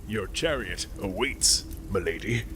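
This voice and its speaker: A man's voice: fairly low pitch, animated, measured pace. The smarmy captain who pulls his truck over in a homemade apocalypse radio play, acted by a man, drawling threats through plainly audible background noise. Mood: leering, sleazy. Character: leering, bullying male militia captain, crude and menacing. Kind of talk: audio drama script reading. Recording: noisy home recording.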